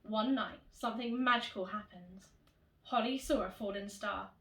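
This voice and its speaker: A woman teacher reading a children's storybook in an animated, expressive way, addressing young children directly. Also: medium pitch, moderate pace. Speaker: primary school teacher reading to pupils. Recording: roomy echo, distant microphone.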